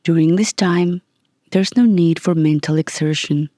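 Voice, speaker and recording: A meditation guide reading a scripted session in a calm, gentle, soothing manner with long pauses. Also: medium pitch, no room sound, studio quality.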